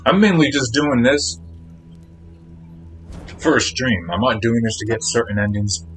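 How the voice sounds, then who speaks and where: A man's voice: medium pitch, measured pace, faint music behind. A man chatting casually on a live game stream, rambling to himself as he eats. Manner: rambling, talking to himself while eating.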